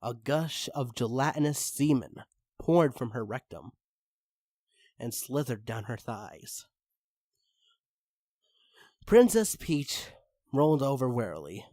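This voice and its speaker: A man's voice: medium pitch, very animated, slow, long pauses, mellow, dry recording, clean studio sound. A man reading fan fiction aloud in a theatrical, amused way, stumbling now and then. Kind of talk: dramatic story reading with amused asides. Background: music behind the voice.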